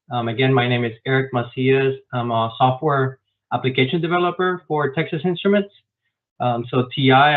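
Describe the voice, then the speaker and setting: Man on a tinny call, explaining. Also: mid-range voice. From grew up in Miami, Florida. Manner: explains step by step with many "um" fillers. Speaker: guest software developer. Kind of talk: conversational interview answers. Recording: tinny phone-like online call.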